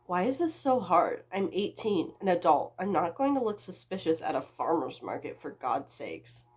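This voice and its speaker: Husky, defiant teenage runaway girl, read by a woman. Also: fairly low pitch, sing-song, normal speed, darkish tone. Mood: anxious. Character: hungry, defiant eighteen-year-old homeless girl thief.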